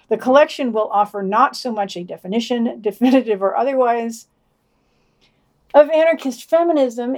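A woman's voice: high voice, darkish tone. A woman co-hosting a podcast, reading out a news digest with dry, wry asides. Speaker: podcast co-host.

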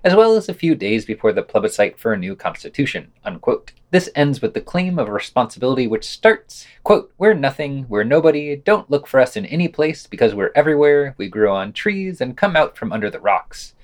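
Male podcast news reader, mid-range pitch, animated melody, scripted delivery with casual commentary. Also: fairly quick, hardly any pauses. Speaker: podcast host reading news.

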